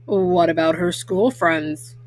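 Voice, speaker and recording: Woman putting on a deep-voiced papa bear. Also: steady tone, slow pace, low-quality recording. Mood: calm, reassuring. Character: gruff, easygoing papa bear, grown male.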